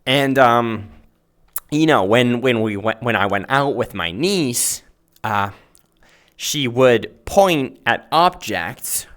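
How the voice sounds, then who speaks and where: Slow, halting man teaching, native Finnish speaker. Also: mid-range voice, lively intonation, fairly bright tone. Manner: halting, searching for words, often checking with "right?". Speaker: host and math teacher. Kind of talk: informal explanatory lesson. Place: virtual reality chat world.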